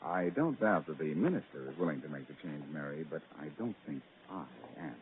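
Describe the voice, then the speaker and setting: An actor playing a dry-witted husband in a 1940s radio drama, speaking wryly and deadpan. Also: male voice, extremely low pitch, a little fast. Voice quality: wry, deadpan. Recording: tinny old radio broadcast.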